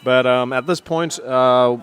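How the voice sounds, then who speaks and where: A young man with a Danish accent hosts a casual food chat. He rambles through restarts and fillers in an ordinary middle-pitched voice. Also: steady tone, slow pace, fairly bright tone. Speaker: podcast co-host.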